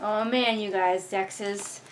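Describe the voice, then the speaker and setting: A woman's voice: mid-range voice, fairly even intonation, slow, a little hiss or hum, poor audio. A woman hosting a casual read-along, reviewing a book with exasperated, sarcastic commentary. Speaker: book reviewer and video host.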